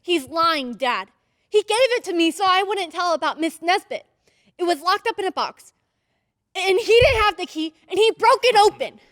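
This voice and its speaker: Very high-voiced, earnest little girl. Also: expressive, normal speed, fairly bright tone, no echo, clean studio sound. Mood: insistent, accusing. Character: frightened, insistent little girl, eager and innocent. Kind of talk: old-time radio drama acting.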